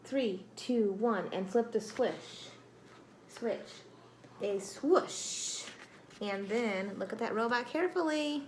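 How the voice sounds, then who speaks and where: A woman reading a picture book aloud to children like a teacher, expressive and dramatic, with exclamations and prompting questions. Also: a little high, slow, slight background noise. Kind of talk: read-aloud storytelling with questions to young listeners.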